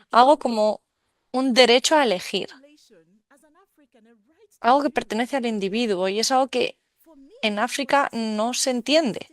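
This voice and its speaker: A woman relays a speaker's conference talk live in an even, fluent stream. Her voice sits a little high and sounds close and dry, with no room around it. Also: no room sound. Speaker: simultaneous interpreter.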